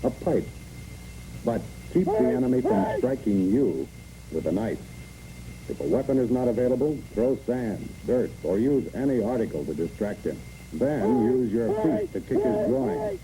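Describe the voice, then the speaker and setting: A man's voice: medium pitch, wildly swooping pitch, normal speed, mellow, a little hiss or hum. A man reads scripted instructional narration for a training film in clipped, imperative phrases, on a muffled old film recording.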